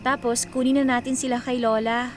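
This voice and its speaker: A woman's voice: fairly high pitch, level tone, a little fast, faint music behind, lightly acted. A woman playing a dutiful, earnest, wistful young college student in a radio drama. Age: young adult.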